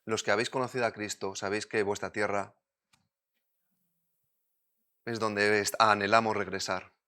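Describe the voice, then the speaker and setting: A man from Madrid with a Madrid Spanish accent, preaching a sermon, moving between loud urgent points and quiet, close asides. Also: lowish voice, level tone, fairly quick. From Spain.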